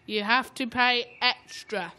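A woman's voice: a little high, fairly even intonation, slow pace. A woman playing a rude, tired, impatient taxi driver and ticket officer in an amateur radio play. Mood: grumpy, impatient. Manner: blunt, impatient, bossy. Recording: faint background noise.

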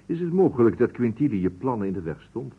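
An actor playing a wry, genial investigator who muses and probes in a vintage Dutch radio drama. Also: male voice, mid-range voice, big pitch swings, quick pace, husky. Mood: probing. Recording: muffled, narrow-band old radio recording.

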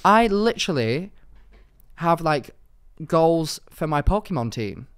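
A British man in his twenties co-hosting a podcast in casual chat, expressive and given to exaggeration, on a close studio microphone. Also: fairly high pitch, slow, clear, resonant tone. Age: 20s. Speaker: podcast co-host. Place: small office-style studio, indoors. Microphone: close podcast microphone on stand.

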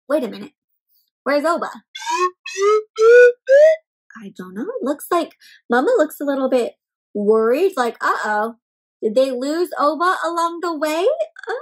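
A woman's voice: sits high, breathy edge. A young woman hosting an interactive children's read-aloud, speaking expressively and putting questions to her young listeners. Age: young adult. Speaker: children's story time host and reader.

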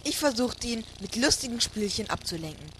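A man's voice: very high voice, expressive, a little fast, a bit bright. A man reading scripted comic narration as the lead character of a homemade parody audio drama. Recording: clearly noisy homemade recording.

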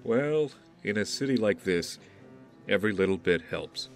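A man playing a guarded young billionaire in an audio drama, speaking in a measured, formal way. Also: lowish voice, expressive. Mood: polite, charming. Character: brooding, guarded young billionaire with a secret mission. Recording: roomy reverb, faint music behind.

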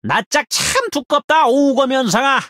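A woman's voice: high-pitched, animated, normal speed, fairly bright tone, dry recording, clean studio sound. A woman voicing a cheeky, hot-tempered little panda mercenary in a game, with punchy, blustering delivery. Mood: scornful, threatening. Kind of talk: Korean mobile game character voice lines.

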